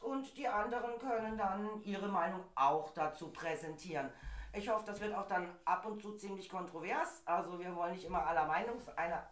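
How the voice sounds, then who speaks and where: A middle-aged woman, slightly hoarse from a cold, chatting in an opinionated way as a podcast co-host. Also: medium pitch, echoey room. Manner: chatty, opinionated, laughs easily. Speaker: podcast co-host presenting a topic. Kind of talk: casual opinion chat.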